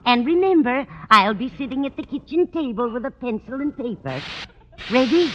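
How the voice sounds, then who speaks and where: A woman's voice: sits high, animated, normal speed. An actress playing a cheery, scatterbrained older landlady with a fluttery hostess manner, in old radio comedy style on a noisy, dull recording. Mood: cheery, announcing. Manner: fluttery, hostess-like, absent-minded. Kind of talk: 1940s-50s radio comedy acting.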